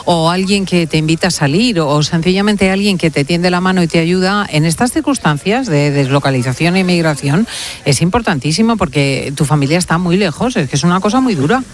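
A woman's voice: fairly low pitch, lively intonation, brisk. A woman hosting a radio talk show, speaking in an emphatic, heartfelt way from the studio. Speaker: radio host.

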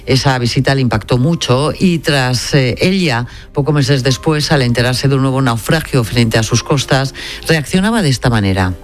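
A woman anchoring a radio news bulletin, reading in a measured, formal way. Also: rumbling low, faint music behind.

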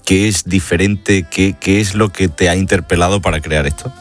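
A man's voice: sits low, fairly even intonation, moderate pace, soft background music. A man co-hosting a radio interview, asking questions in a friendly, teasing way. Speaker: co-host.

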